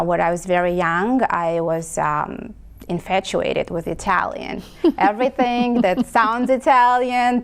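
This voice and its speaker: A woman from Moldova whose first language is Romanian, answering interview questions about her home care company, pausing often with ums and restarts. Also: medium pitch, clear, resonant tone. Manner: hesitant, many ums and restarts.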